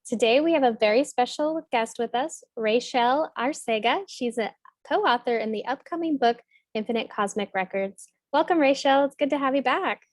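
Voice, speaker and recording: A woman's voice: fairly high pitch, moderate pace, dry recording, studio quality. A woman hosting an interview over a video call, asking questions in an upbeat, encouraging way. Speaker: host.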